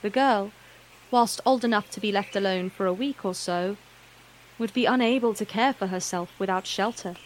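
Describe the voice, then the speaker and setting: A woman narrator reading a scripted fantasy tale in a measured, literary, wryly understated manner. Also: mid-range voice, a little hiss or hum, rough recording.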